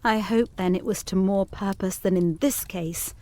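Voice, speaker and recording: A woman's voice: medium pitch, normal speed, mellow, lightly acted. A woman playing an earnest, anxiously determined young governess in an audio drama, speaking formally and urgently. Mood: fervent resolve. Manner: formal, literary phrasing, urgent. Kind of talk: audio drama acting of Victorian gothic ghost story.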